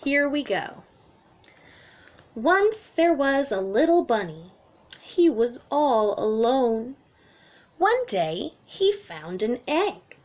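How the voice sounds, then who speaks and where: A woman with a high voice gives a storytime read-aloud for young children. She takes her time and explains things simply, in the expressive way of a children's teacher. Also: slow, long pauses. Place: small room at home, indoors.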